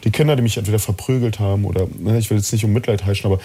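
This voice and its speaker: A man from East Germany telling personal stories as an interview guest, reflective and halting, often repeating words. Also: lowish voice, dark tone, husky. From born in East Germany, partly raised in Chile. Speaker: interview guest, actor and coach. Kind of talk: personal storytelling in conversation.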